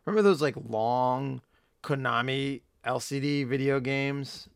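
A man in casual chat, rambling with lots of fillers and swearing, hoarse as he loses his voice. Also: mid-range voice, measured pace, no echo, studio quality. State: losing his voice. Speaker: podcast co-host.

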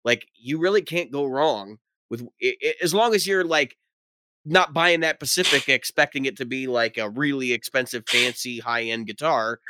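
A man's voice: mid-range voice, sharp tone, a little hiss or hum. A man co-hosting a casual podcast chat, sure of his views and saying "like" a lot. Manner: quick, sure, uses "like" a lot.

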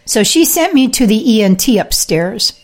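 A woman hosting her own podcast, talking casually with fillers and throat clearing, telling her own experience. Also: on the high side, big pitch swings, normal speed, darkish tone. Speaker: podcast host; health practitioner with patients. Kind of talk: casual monologue.

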